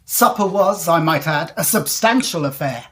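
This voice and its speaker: Low-pitched, articulate gentleman traveller played by a woman. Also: lively intonation, a little hiss or hum. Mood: reflective storytelling. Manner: formal, articulate, deliberate storytelling. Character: confident, educated gentleman traveller recounting an eerie tale. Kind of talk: period audio drama acting and narration.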